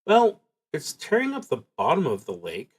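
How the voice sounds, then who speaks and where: A man streaming a solo tabletop roleplaying game, thinking aloud in a halting, musing way with pauses as he goes. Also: a little high, huge pitch swings, breathy edge.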